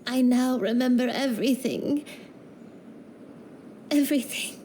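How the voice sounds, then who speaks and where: A kindly grandmother talks softly and hesitantly with great affection. The voice is high yet mellow, and very unhurried. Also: slight background noise. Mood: dazed, moved. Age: older. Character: gentle, kindly grandmother recovering her past. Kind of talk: video game voice acting.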